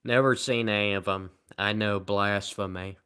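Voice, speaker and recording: A tired man live streaming, rambling in a sarcastic, self-mocking way as he answers viewer comments at low energy. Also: steady tone, normal speed, pushed and bright, clear, resonant tone.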